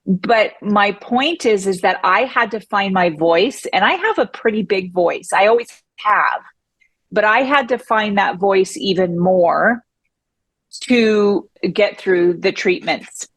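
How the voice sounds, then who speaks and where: A woman's voice: mid-range voice, slow pace, dry recording. A woman speaking as a podcast guest, talking emphatically and with self-assurance. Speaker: podcast guest, breast cancer survivor. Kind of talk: conversational interview.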